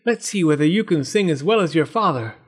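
A man voicing a sly, flattering male fox in a storybook, coaxing and smooth-talking. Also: a little high, a little fast.

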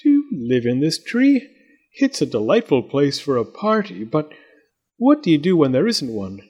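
A man voicing a gracious, greedy giant moth in a storybook, speaking slowly and courteously, in a raised, husky voice. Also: fairly high pitch, huge pitch swings, a little mellow, muffled recording, full character voice. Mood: curious. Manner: slow, drawn-out and courteous.

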